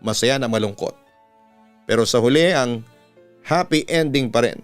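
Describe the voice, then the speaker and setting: A man's voice: mid-range voice, moderate pace. A man with a Filipino accent, a radio announcer reading a listener's letter as a dramatic scripted story. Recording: music bed under the voice. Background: instrumental music.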